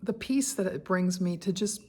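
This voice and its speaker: A woman, talking candidly in a personal vlog, chatty and quick to laugh, with many asides and fillers. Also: lowish voice, a little mellow, husky. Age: 60s. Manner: conversational with frequent fillers and asides. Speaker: lifestyle vlogger.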